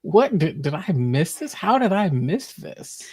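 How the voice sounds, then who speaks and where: A man's voice: on the high side, very animated, unhurried, dark tone, husky, dry recording. Questioning man on a podcast, dry sound. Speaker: podcast co-host. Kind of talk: casual pop-culture podcast banter.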